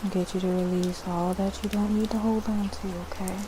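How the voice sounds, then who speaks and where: A woman speaking gently and reassuringly in an ASMR style, as a spiritual healer guiding a cleansing. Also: medium pitch, level tone, noisy background. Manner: gentle, reassuring, repeating words slowly. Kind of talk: ASMR, soothing guided cleansing.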